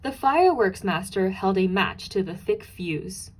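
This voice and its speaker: A woman reads a children's story aloud at a slow, deliberate pace. Her pitch is middling, and she leans expressively on key and repeated words for dramatic effect. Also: animated, a little hiss or hum, low-quality recording. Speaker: storybook narrator.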